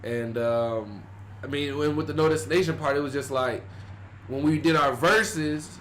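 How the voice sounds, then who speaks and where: A man's voice: moderate pace, a little hiss or hum. A young man from Houston with a Southern accent, a rapper guest telling stories in a casual podcast interview. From Houston, Texas. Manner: animated storyteller, acts out quotes in a higher voice.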